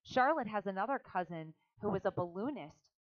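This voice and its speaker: A woman's voice: mid-range voice, animated, normal speed, lo-fi sound, natural voice. A woman voicing an earnest, imaginative young farm girl who talks eagerly and insistently.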